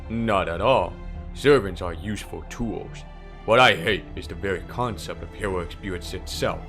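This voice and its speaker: A man playing a calm, sinister priest villain in a fan dub, speaking in a measured, formal, smoothly condescending way. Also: sits low. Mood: cool, matter-of-fact. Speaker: amateur voice impressionist. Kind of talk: dramatic fan dub character acting. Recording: background music under the voice.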